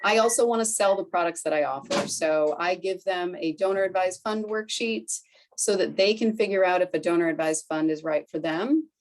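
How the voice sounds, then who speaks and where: A woman in her forties with a Boston accent talks through a conference presentation in a loose, chatty way. Her voice sits a little low, and she keeps checking in with the room as she goes. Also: normal speed. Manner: chatty, conversational, fillers like "right?" and "like". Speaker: foundation director presenting to colleagues.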